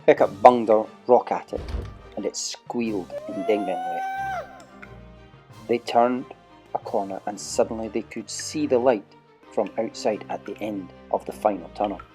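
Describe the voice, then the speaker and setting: A man's voice: lowish voice, huge pitch swings, a little slow, music in the background. A man narrating an adventure storybook dramatically as part of an audio play.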